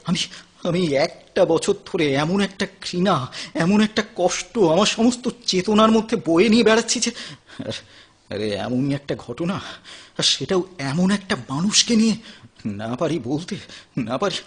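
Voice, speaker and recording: Husky high male voice, actor playing tormented addicted painter, tense edge, halting theatrical delivery. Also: sing-song, full character voice. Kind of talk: audio drama acting.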